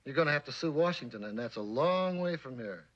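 An actor playing a cynical, bossy former army captain turned police chief with an American accent, in mid-century suspense drama style. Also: male voice, medium pitch, expressive, brisk, a bit bright, natural voice. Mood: sardonic. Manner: needling, bossy. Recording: dull, lo-fi old drama soundtrack.

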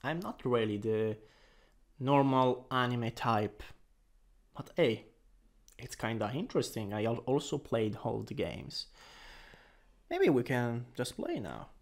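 A man's voice: mid-range voice, sing-song, takes it slowly, pauses often. A man with an Italian accent playing a playful, teasing boyfriend in an improvised ASMR roleplay. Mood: relaxed, teasing. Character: playful, teasing, excitable young boyfriend watching anime.

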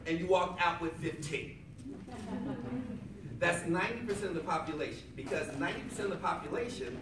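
A man giving a motivational seminar talk to a room of people, loud and emphatic, repeating his key lines back to the crowd. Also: a little high. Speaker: seminar speaker. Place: hotel conference room with seated audience. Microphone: far camera at back of room, no microphone. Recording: echoey room from far off with audience noise.

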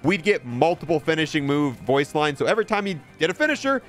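Casting: male gaming host, very quick delivery, chatty and opinionated, light music underneath. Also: a little high, big pitch swings. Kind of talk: casual gaming review commentary.

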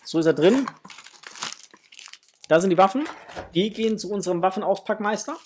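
A man's voice: sits high, animated, moderate pace, a little mellow, a little hiss or hum. A man, chatting informally and enthusiastically as he presents a casual unboxing review at home. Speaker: toy review channel host. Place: small home room, indoors.

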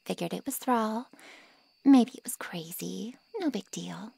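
A woman playing a haughty, callous ancient vampire in an ASMR roleplay drama, with mocking sarcasm and a breathy drawl. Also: medium pitch, animated, a little slow, dark tone, exaggerated voice. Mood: dismissive.

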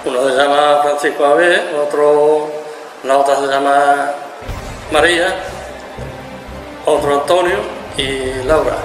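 An older man from Spain, a grandfather, talking about his family and his playing at dances in a halting, repetitive way. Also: on the high side, steady tone. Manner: halting, repeating himself, simple phrasing. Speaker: interviewee, amateur musician. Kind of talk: casual recollection to camera. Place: indoor room, seated. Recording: echoey room with music underneath.

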